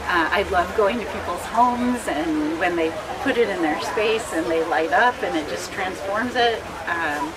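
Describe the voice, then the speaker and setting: A woman artist answering interview questions casually, chatty and quick to laugh. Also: lowish voice, rough recording. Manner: laughs often, warm and chatty. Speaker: artist selling paintings. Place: outdoor art fair. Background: busy crowd.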